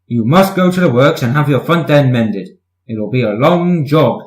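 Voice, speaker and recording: A man's voice: mid-range voice, animated, normal speed, reverberant, lightly acted. A man playing a stern, pompous railway controller who admonishes, in a children's storybook reading.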